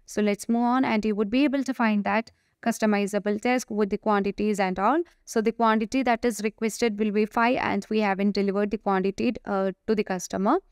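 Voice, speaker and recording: Female software demo presenter, smooth and clear, improvised halting walkthrough, dry close recording. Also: medium pitch, fairly even intonation, no room sound.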